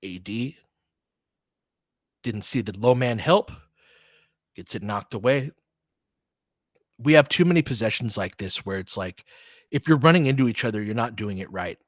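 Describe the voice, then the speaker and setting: A man's voice: on the low side, animated, moderate pace, pauses often, no room sound, studio quality. Man calling basketball in clipped, casual phrases. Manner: clipped short phrases, conversational asides; partisan fan talk, says "we" of the team. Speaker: basketball play-by-play commentator. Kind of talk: casual live play-by-play commentary with analysis.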